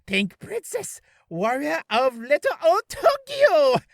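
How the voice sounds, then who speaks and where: A creepy, excitable male geek from a comic game. His voice swings wildly between slow mumbles and manic, piercing bursts, recorded dry. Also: very high-pitched, creaky, dry recording, exaggerated voice. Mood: manic inspiration. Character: geeky, creepy, excitable male superfan auteur. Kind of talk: comic video game character acting.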